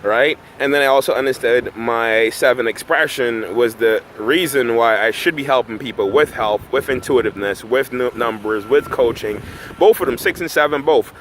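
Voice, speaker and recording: A man's voice: mid-range voice, fairly bright tone, a little hiss or hum, rough recording. Casual man talking outdoors in a park. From Barbados. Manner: conversational, often tags "right?". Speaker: numerology coach. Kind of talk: casual vlog monologue. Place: outdoors in a park by road works.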